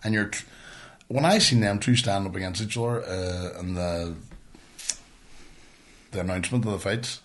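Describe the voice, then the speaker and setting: A man with a Northern Irish accent co-hosting a podcast in casual, sweary banter, blunt and colloquial and quick to argue. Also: deep voice. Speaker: podcast co-host.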